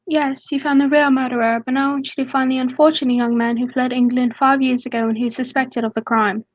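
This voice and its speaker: Woman playing high, fast-talking young fiancée. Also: level tone, highs cut off. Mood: earnest, pleading. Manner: earnest, pleading. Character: young Englishwoman, earnest pleading fiancée. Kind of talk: radio drama acting.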